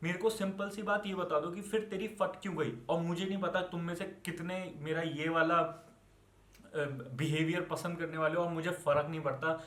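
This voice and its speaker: High-pitched angry young Indian man, echoey room. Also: fairly even intonation, normal speed, fairly bright tone. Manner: scolding, confrontational, swearing, rhetorical questions; switches between Hindi and English. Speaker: online commentator. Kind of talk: angry unscripted rant to viewers.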